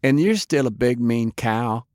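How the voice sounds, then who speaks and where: A man's voice: mid-range voice, expressive, unhurried, a little mellow, no echo, clean studio sound, pitched above the performer's own voice, lightly acted. A man voicing a brash, feisty young woman fighter, defiant and taunting, in an audiobook. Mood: defiant, mocking. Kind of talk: audiobook character acting.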